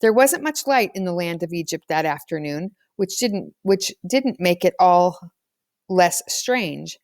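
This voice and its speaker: A woman narrating a children's novel aloud as an expressive storyteller. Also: lowish voice, unhurried, no echo, clean studio sound. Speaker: audiobook reader.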